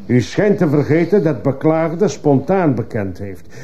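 A man's voice: on the high side, big pitch swings, unhurried, dark tone. A man playing a stern, probing judge who fires insistent questions in a radio courtroom drama. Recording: muffled, hissy old radio play recording.

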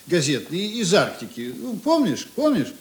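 A breezy, generous writer friend in a radio play. His voice is on the high side and swoops with animation. He is chatty and bustling, bossing people about jovially. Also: wildly swooping pitch, normal speed. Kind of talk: Russian radio play acting.

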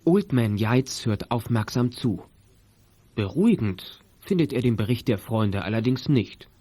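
A man reading scripted narration for a children's detective audio play, as a calm storyteller. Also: mid-range voice, unhurried, dry recording. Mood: measured.

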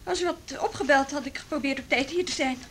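High-voiced, uneasy woman, played by an actress. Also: fast talker, slight background noise, lightly acted. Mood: uneasy, polite. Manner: hesitant, deferential. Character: polite, uneasy younger woman facing her landlady. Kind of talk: radio drama acting.

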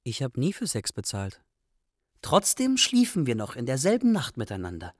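A man's voice: medium pitch, huge pitch swings, normal speed, no echo, studio quality. A man acting as a young Iraqi refugee, candidly and expressively narrating adventures in a radio play.

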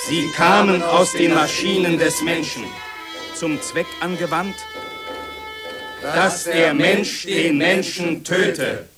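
Haunted male war witness, incantatory 1950s radio drama delivery, clear music underneath. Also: fairly high pitch, fairly even intonation, slow pace. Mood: haunted, intense. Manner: incantatory, emphatic, short declamatory phrases. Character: haunted man recalling war from a flak tower.

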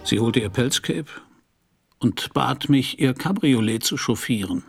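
A man's voice: medium pitch, animated, measured pace, soft background music. An actor playing a world-weary private detective in a radio crime drama, narrating his case in a laconic, dry way. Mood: wry, reflective. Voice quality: husky, gravelly.

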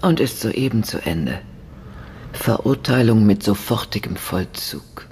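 An actor playing a blunt, disillusioned informant in a radio drama, terse and serious. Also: male voice, on the low side, lively intonation, measured pace, darkish tone, a little hiss or hum. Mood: grave.

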